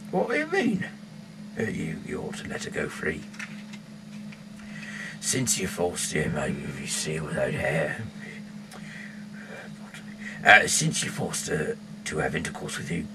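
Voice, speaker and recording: An English man reading aloud casually in a rambling, halting way, with off-the-cuff asides. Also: a bass voice. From England. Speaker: amateur Bible reader and rock singer. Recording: clearly noisy homemade recording.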